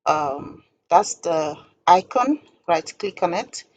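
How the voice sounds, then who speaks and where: A woman presenting an informal step-by-step software tutorial in a halting, deliberate way. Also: lowish voice, animated, muffled recording, slight background noise.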